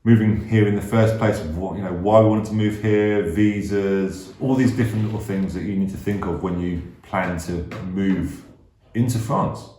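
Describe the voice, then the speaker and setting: A middle-aged man born in Hong Kong talks through his thoughts in a measured way, dropping in fillers as he goes. His voice is low and mellow, and it echoes in the room. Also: slight background noise. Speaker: vlog co-host. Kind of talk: casual chat.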